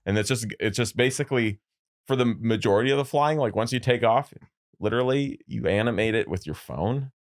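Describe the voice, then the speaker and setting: A man co-hosting a casual podcast, pitching ideas loosely with jokes and lots of fillers. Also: animated, fairly quick. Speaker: podcast co-host. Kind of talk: casual studio chat.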